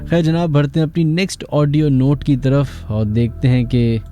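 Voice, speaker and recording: A man's voice: mid-range voice, fairly even intonation, normal speed, mellow, soft background music. A man with a Karachi Pakistani accent hosting a call-in horror radio show.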